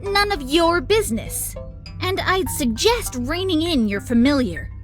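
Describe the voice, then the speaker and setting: Casting: exasperated, sardonic female villain for a game, high voice with big swoops, music underneath. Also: expressive, a little slow. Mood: weary, threatening. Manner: exasperated, theatrical, swearing. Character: Kiki, sardonic, dramatic, gun-toting female villain rival. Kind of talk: visual novel game voice acting.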